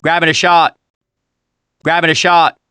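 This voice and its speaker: An actor playing a male survivor in a zombie video game, calling out supplies loudly and urgently. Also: on the high side, little pitch movement, unhurried, clean tone, dry recording. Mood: alert, urgent.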